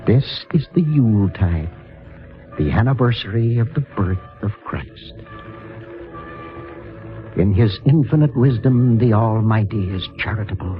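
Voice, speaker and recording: Slow, low-voiced solemn priest. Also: male voice. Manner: grave, deliberate, preaching. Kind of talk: 1950s radio drama acting. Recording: muffled vintage radio recording with music.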